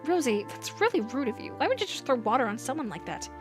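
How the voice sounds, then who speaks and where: Woman voicing a prim cartoon rag doll, reproachful, high voice, hoarse, music behind. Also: sing-song, fast. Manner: scolding, reproachful.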